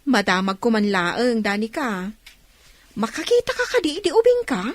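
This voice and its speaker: A woman's voice: fairly high pitch, huge pitch swings, moderate pace, no echo. A woman playing a kindly, chatty farmhouse housekeeper in an audio drama. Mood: delighted, curious.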